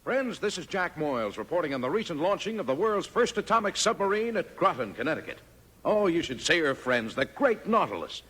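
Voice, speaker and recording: A husky man with an American accent, reporting in an excited, declamatory 1950s radio style. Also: a little high, fairly quick, a little hiss or hum. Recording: long reverb, vintage radio.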